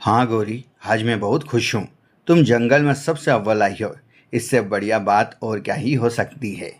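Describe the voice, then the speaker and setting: A man's voice: lowish voice, normal speed, lightly acted. A man as earnest grown-up son bird. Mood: proud. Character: tired, concerned grown-up son bird, husband. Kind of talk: animated moral story acting.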